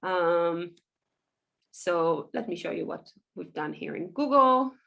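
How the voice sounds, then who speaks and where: A woman, a Hebrew speaker, giving a loose, chatty software workshop full of ums and small laughs. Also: lowish voice, lively intonation, slow pace, creaky. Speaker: workshop presenter. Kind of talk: casual software tutorial over screen share.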